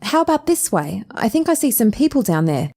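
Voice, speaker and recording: A woman's voice: on the high side, very animated, a little fast, warm tone. A woman playing a confident young female time traveller with composed, formal phrasing in an audio drama. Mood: assured. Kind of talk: fan audio drama acting. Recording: music underneath.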